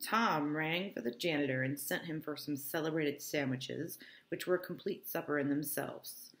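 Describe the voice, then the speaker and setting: A woman's voice: low voice, normal speed. A woman teacher reading a novel aloud and explaining it informally, often checking that listeners follow. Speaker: English teacher.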